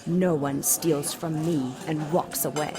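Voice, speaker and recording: A woman's voice: low voice, fairly even intonation, slow pace. A woman voicing a seductive, imperious demon queen in an audio drama, coy, mocking and theatrical. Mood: haughty, indignant. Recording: crowd chatter behind the voice. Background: crowd chatter.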